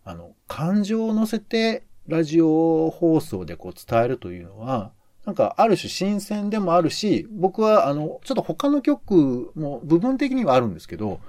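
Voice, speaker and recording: A man's voice: on the high side, huge pitch swings, moderate pace, hardly any pauses, darkish tone, dry recording, clean studio sound. A man co-hosting a podcast, giving conversational commentary on radio shows and thinking aloud as he goes. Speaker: podcast co-host.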